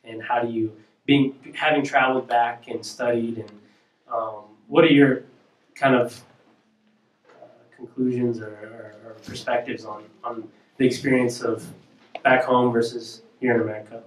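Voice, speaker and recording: A man hosting a campus question-and-answer evening, halting and filling his questions with "uh" and "um". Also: mid-range voice, fairly even intonation, echoey room, distant mic, poor audio. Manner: halting, many "uh" and "um". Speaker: event host and interviewer.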